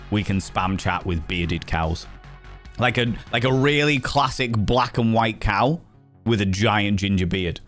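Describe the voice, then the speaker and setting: Cheeky Yorkshire man chatting with viewers. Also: fairly low pitch. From Yorkshire, England. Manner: cheeky, teasing, swears freely, greets chat warmly. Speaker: live streamer. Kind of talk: casual live-stream chat.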